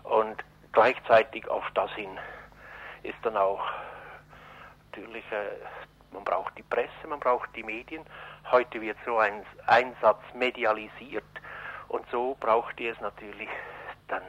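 A man with a fairly deep voice explains his view in an interview, pausing to find words and then stressing them. His delivery is unscripted and halting. The sound is slightly muffled, like an old radio recording. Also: fairly even intonation. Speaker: interviewed commentator. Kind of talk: explanatory political interview, spontaneous speech.

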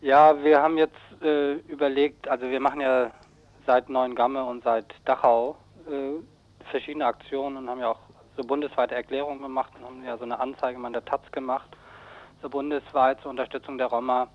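A man's voice: mid-range voice, flat delivery, brisk. A man being interviewed on the radio as an activist, explaining things informally in a matter-of-fact way. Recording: slightly dull radio recording.

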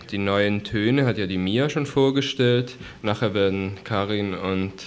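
A man presenting music programmes informally in a radio studio, thinking aloud in an unpolished way. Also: medium pitch.

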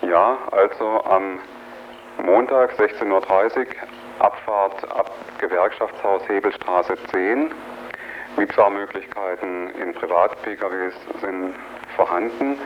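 A man with a very low voice gives an unscripted announcement as a radio guest. He goes haltingly, pausing mid-sentence to find words. The recording is dull and lo-fi with faint noise. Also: steady tone. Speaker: activist guest announcing an event.

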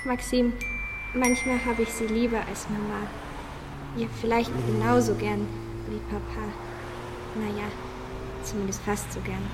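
Woman playing a slow-speaking, dreamy little boy in reverb. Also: on the high side, flat delivery, background noise, lightly acted. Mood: tender. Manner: slow, childlike, halting. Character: lonely, dreamy, anxious mute little boy's inner voice. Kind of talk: amateur youth radio play. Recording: long reverb, music underneath.

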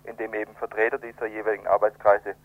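A man's voice: fairly low pitch, level tone, dry recording. Man on a tinny phone line. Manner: hesitant, pausing often mid-sentence. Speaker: interview guest, children's radio editor. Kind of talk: spontaneous phone interview answer. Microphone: telephone.

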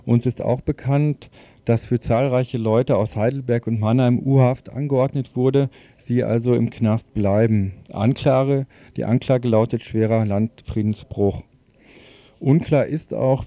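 A man's voice: medium pitch, warm tone, no echo. A man presenting radio news in a matter-of-fact, informal way, occasionally stumbling over a word.

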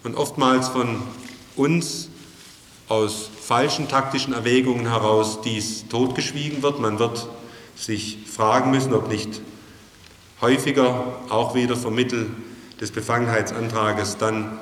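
A man's voice: mid-range voice, fairly even intonation, unhurried, a bit bright. A man giving a formal address to a meeting, recorded in a reverberant hall. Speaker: activist defence lawyer. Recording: echoey hall, hissy noisy tape.

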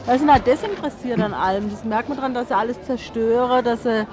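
A woman's voice: a little high, fast talker, crowd noise. A woman giving spontaneous, sceptical and resigned answers as a resident in an interview. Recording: noisy outdoor street recording.

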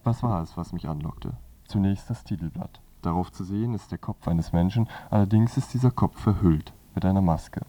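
A man's voice: deep voice, normal speed, dark tone. A man presenting a scripted magazine review on the radio as narrator.

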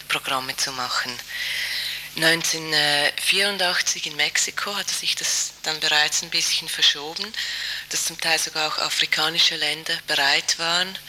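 A woman's voice: low voice, pushed and bright, low-quality recording. A woman explaining political arguments in an interview, emphatic and opinionated, on a hissy tape. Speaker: interviewee from an activist women's group.

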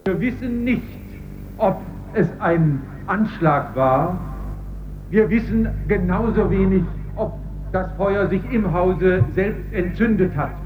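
A man making an emotional public appeal in a halting, pleading, repetitive way. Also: high-pitched, a little mellow, a little hiss or hum, poor audio.

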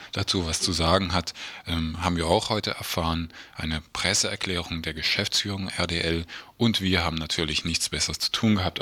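A man hosting a radio news magazine, presenting from notes and sometimes halting as he goes. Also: low-pitched, bright, forceful tone, no echo. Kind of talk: radio presenting, semi-scripted.

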